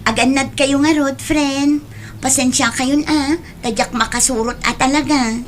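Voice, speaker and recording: A woman acts a considerate young Ilocano friend in an audio drama. Her voice is very high, and her manner is gentle and apologetic. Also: fairly even intonation, measured pace, music in the background. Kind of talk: amateur radio-style Ilocano audio drama.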